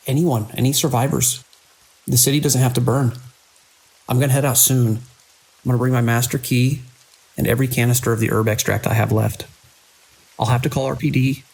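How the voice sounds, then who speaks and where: A man playing a weary, alarmed hospital director in an audio drama, speaking grave, deliberate log entries in clipped sentences. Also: medium pitch, creaky, a little mellow, slightly rough, rough recording. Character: weary, alarmed hospital director recording logs during an outbreak.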